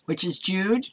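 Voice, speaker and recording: An older American woman speaks slowly and earnestly, as an online ministry host would. Her delivery is halting and informal, part chat and part preaching, with a mid-pitched voice. She is recorded indoors in a small home office. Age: older, 60s or 70s. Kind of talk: informal devotional talk to camera, part preaching.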